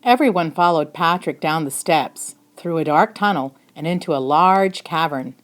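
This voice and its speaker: A woman narrating a children's picture book in an expressive storytelling style. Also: sits low, normal speed. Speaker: storybook reader.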